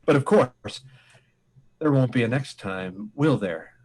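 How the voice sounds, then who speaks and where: A male actor as a stern inspector in a vintage radio mystery. He lays out his accusation in a measured, smug way, his tone falling at the ends. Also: mid-range voice, lively intonation, a little mellow, breathy edge. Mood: accusing, smug. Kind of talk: 1940s-style radio mystery acting.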